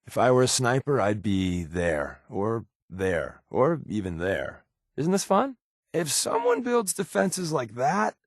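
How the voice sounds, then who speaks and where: An actor playing a wisecracking, sardonic undercover resistance agent in a video game, with a glib, joking conversational delivery. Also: male voice, mid-range voice, sing-song, slow, no room sound, clean studio sound, heavily acted. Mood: wry, joking. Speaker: video game companion character.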